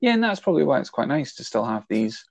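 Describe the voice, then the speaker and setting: A man's voice: medium pitch, big pitch swings, fast talker, gravelly, no room sound. A man in his forties co-hosting a casual film chat, explaining his ideas at length and teasing his younger co-host. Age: 40s. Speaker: podcast co-host.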